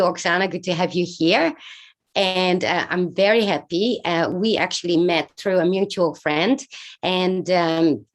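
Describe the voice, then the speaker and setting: A woman hosting a live online show, chatty, greeting viewers and introducing her guest with many fillers. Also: lowish voice. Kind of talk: casual live-stream hosting.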